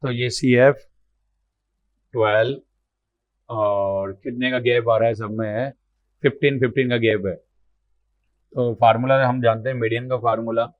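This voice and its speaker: A man, slightly hoarse, teaching exam math problems step by step, repeating numbers as he works and asking himself questions. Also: medium pitch, steady tone, unhurried, long pauses, dry recording. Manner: explains aloud while writing, repeats numbers, asks and answers his own questions. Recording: dull lo-fi sound.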